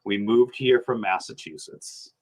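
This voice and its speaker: A man, a podcast guest chatting casually, animated and rambling, laughing often. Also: lowish voice, a little slow. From New Hampshire. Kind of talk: casual sports chat.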